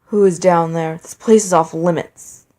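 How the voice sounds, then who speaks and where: A woman's voice: fairly even intonation, warm tone, natural voice. A woman voicing a huge old monster king, a sorrowful father, in a storybook reading. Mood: stern. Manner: booming commands, halting pleading.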